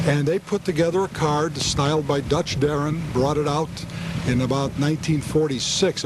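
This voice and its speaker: A man being interviewed outdoors as a guest, informally explaining history. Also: a little high. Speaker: guest, car club member. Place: outdoors in a parking lot. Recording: noisy outdoor location sound with vehicles.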